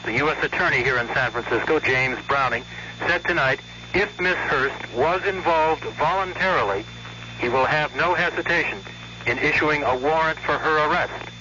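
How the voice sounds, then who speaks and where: A man's voice: fairly high pitch, moderate pace, sharp tone. A man with an American accent giving a scripted news report as a radio correspondent. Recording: dull noisy 1970s radio feed.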